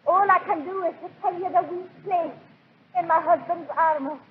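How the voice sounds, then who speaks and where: An actress playing the frightened, bitter wife of an evil ruler in melodramatic 1940s radio style. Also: female voice, very high-pitched, normal speed. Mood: bitter, fearful. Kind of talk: 1940s radio drama acting. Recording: tinny 1940s radio recording, faint noise.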